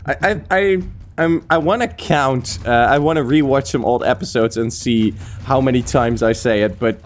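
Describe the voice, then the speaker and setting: A man's voice: medium pitch, moderate pace. A man chatting casually in a reaction interview, laughing often and teasing the characters. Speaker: voice actor guest.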